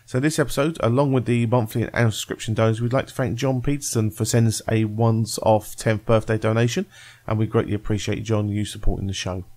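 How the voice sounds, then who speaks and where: A man's voice: fairly low pitch, steady tone, fast, darkish tone. A man reading a scripted introduction as a podcast presenter. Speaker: podcast host and news presenter.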